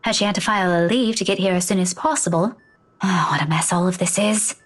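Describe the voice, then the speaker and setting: A woman's voice: mid-range voice, fast talker, close to an everyday voice. An actress playing a caring, stubborn young woman schoolteacher in a video game, earnest and firm with her friends. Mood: weary, caring.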